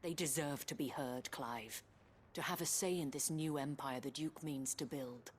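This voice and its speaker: Low-voiced, dignified noblewoman. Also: normal speed, a little hiss or hum. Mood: resigned, sorrowful. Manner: poised, formal, eloquent. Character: dignified noblewoman leading a town, wistful, principled. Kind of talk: scripted game dialogue.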